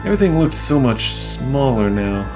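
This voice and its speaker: A man's voice: medium pitch, very animated, normal speed, over music, pitched above the performer's own voice. A man voicing a gloating, mocking villain for a video game, grandiose, mock-jovial and condescending. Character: gloating, theatrical male villain, smug and mocking. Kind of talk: character voice reading for a video game playthrough.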